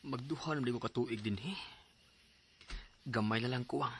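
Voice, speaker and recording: A man's voice: medium pitch, moderate pace, fairly bright tone, faint music behind, natural voice. A man with a Cebuano accent acting a dutiful, hopeful young nurse son in a radio drama, affectionate and reassuring. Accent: Cebuano Filipino. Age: young adult.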